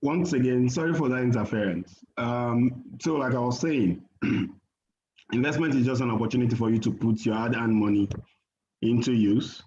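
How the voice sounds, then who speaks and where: A man giving an investment presentation on a video call, measured and full of fillers and restarts. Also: mid-range voice, dark tone, slight background noise. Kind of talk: webinar presentation. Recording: muffled video call audio.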